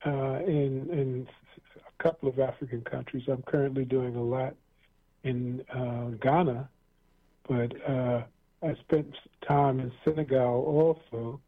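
Gravelly man hosting a talk show. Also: medium pitch, little pitch movement, measured pace. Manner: rambling, many fillers and restarts, chuckles often. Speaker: radio talk show host. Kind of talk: casual interview chat. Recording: tinny lo-fi radio sound.

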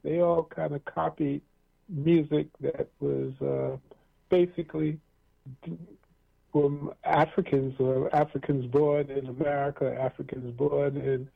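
A man's voice: fairly high pitch, level tone, measured pace, creaky. A man hosting a radio talk show, chatting in a rambling, easygoing way with lots of fillers and restarts. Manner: rambling, many fillers and restarts, chuckles often. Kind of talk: casual interview chat. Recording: tinny lo-fi radio sound.

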